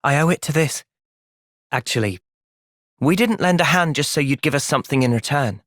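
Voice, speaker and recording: A man with an English accent playing an earnest, thoughtful young soldier hero in a video game, speaking sincerely and with measured delivery. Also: a little high, expressive, a bit bright, no echo, studio quality. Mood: calm, earnest. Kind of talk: video game cutscene voice acting.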